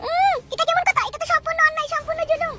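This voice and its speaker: Woman playing a squeaky, pleading cartoon teenage girl. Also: fairly even intonation, racing, heavy background noise. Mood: protesting. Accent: rural West Bengal. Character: pleading, frightened teenage daughter of a politician. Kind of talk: comic cartoon dub.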